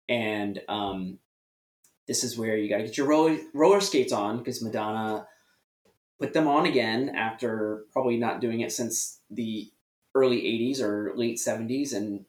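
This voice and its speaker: Measured American man in an echoey room. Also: lowish voice. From United States. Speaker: podcast guest. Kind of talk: casual music discussion.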